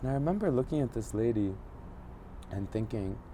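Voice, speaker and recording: A man in his thirties who grew up in Egypt, a journalist telling a personal story in an interview, pausing often to search for words. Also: medium pitch, animated, warm tone, a little hiss or hum. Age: 30s. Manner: thoughtful, searching for words, frequent fillers. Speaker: journalist guest.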